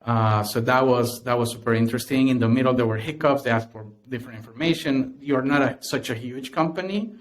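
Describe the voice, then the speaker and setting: A man's voice: medium pitch, brisk, few pauses. A man from Honduras with a Honduran Spanish accent, a podcast guest who talks with many fillers and restarts. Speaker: guest, tech company cofounder. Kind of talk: podcast interview.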